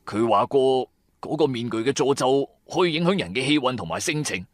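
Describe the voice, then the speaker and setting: A man's voice: mid-range voice, lively intonation, brisk, no room sound, clean studio sound, natural voice. A man voicing a loyal, scatterbrained young sidekick in a radio drama, colloquial, hesitant and confiding. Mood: hesitant, earnest. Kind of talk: radio drama storytelling dialogue.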